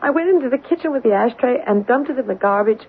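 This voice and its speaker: A frightened, breathy young wife. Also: female voice, on the high side, animated, fast. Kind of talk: 1970s radio drama acting. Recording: thin phone-like old radio broadcast.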